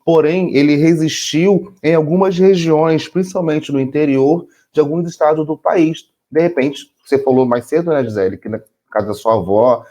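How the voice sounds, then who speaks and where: Mellow-voiced Brazilian man co-hosting. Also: a little high, normal speed, hardly any pauses. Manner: explains with rhetorical questions to the audience; relaxed, chatty, says "entendeu?" often. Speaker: co-host. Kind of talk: casual chat.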